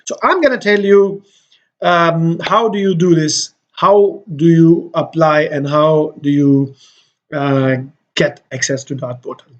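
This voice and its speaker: A man's voice: on the high side, slow pace. Man talking through a lo-fi screen tutorial. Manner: loose and conversational, with many fillers and restarts. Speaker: host giving application guidance. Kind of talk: screen-share walkthrough tutorial.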